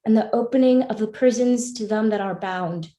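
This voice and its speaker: A woman's voice: on the high side, normal speed, warm tone, lightly acted. A woman playing an earnest, devout young woman, speaking formally in a live staged play reading. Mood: earnest, solemn. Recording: roomy, echoing voice pickup.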